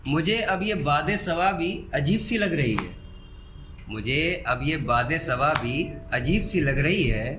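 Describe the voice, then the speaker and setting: A man with a slightly high voice recites poetry as a stage performer. He repeats his couplets and sets them apart with deliberate pauses. Instrumental music plays clearly behind him. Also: expressive. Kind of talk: live Hindi-Urdu poetry recital with spoken asides. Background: soft instrumental background music.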